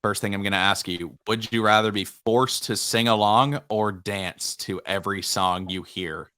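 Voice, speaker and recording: Low, bright-voiced young man. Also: fairly even intonation, normal speed, no echo, clean studio sound. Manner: easygoing, playful teasing. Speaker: podcast co-host. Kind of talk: casual sports podcast chat.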